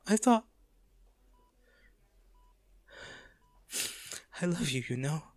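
A man playing a wealthy, devoted, wounded lover in an intimate, halting audio drama. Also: a little high, lively intonation, darkish tone, no echo, natural voice. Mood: relieved, shaken. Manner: slow, halting, emotional delivery. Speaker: voice actor in an audio roleplay.

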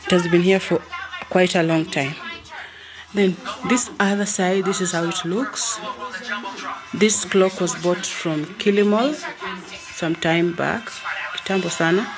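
A Kenyan woman gives a casual home tour, speaking slowly in a slightly low voice. She repeats phrases as she points things out, with music playing behind her. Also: steady tone. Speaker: vlogger. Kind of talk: casual house tour narration. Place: indoors, living room of a family home. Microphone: handheld phone or camera held by the speaker.

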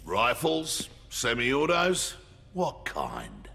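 An imposing, proud fighting-game man, deliberate and theatrical. The voice is gravelly with big swings in pitch, ringing in a long reverb. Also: wildly swooping pitch, bright, forceful tone, exaggerated voice. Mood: probing. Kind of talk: video game character dialogue. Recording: long reverb, faint music.

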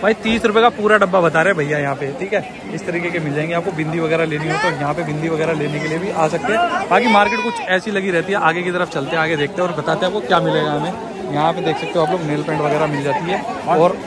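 A man's voice: on the high side, fairly even intonation, fast talker. A man giving a casual vlog walk-through of an outdoor market, repeating prices to his viewers. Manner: repeats prices to the viewers, addressing them as brother. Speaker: vlogger. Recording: busy outdoor market crowd behind voice.

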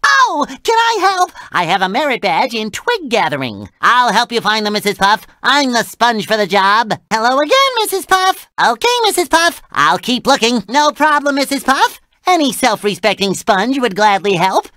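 An actor voicing a cheerful, childlike, eager sea sponge for a cartoon video game, nasal and squeaky, with a naive, gushing manner. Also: big pitch swings, moderate pace, hardly any pauses, pushed and bright, no echo, studio quality. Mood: helpful, upbeat. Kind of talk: video game character dialogue.

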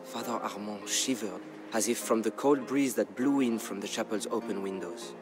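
A man narrating a historical tale as a dramatic scripted story, in a measured, grave storyteller's delivery. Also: on the low side. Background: background music.

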